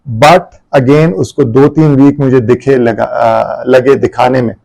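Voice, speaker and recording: A man from Pakistan with a Pakistani accent, Urdu his first language, answering interview questions as a guest barrister in a measured way and mixing English with Urdu. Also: mid-range voice, steady tone, creaky, dark tone, rough recording.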